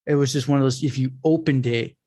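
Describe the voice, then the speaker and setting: A man's voice: mid-range voice, quick pace, dry recording, studio quality. A young man hosting a podcast, telling casual stories and acting out the voices of people he quotes, with lots of fillers and restarts. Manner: animated storyteller, acts out quoted voices. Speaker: podcast host. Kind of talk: casual storytelling chat.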